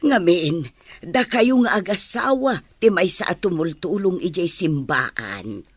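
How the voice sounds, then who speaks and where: A woman's voice: fairly low pitch, animated, unhurried, husky, dry recording. A woman playing an anxious, devout and grateful mother in an Ilocano drama, speaking earnestly and emotionally. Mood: grateful, sorrowful. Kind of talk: Ilocano audio drama acting.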